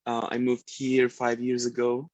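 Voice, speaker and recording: A man with an Egyptian Arabic accent speaks slowly and gently on a video call, pausing and searching for words with frequent ums. His pitch stays flat and even, and his voice sounds dry and close. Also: no echo, muffled recording. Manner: hesitant, many ums, gentle and heartfelt. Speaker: participant introducing themself. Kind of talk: casual self-introduction.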